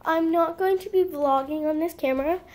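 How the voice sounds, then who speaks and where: A woman's voice: very high voice, brisk, a little mellow. A young female vlogger chatting casually in her bedroom into a camera mic, rambling with lots of fillers. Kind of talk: casual vlog. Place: small bedroom indoors.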